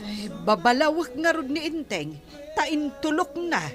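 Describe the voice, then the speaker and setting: A woman's voice: sits high, very animated, a little slow. A woman playing a mildly disapproving villager gossiping in an audio drama. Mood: gossipy disapproval. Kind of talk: Ilocano radio-style audio drama acting. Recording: music bed behind the voice.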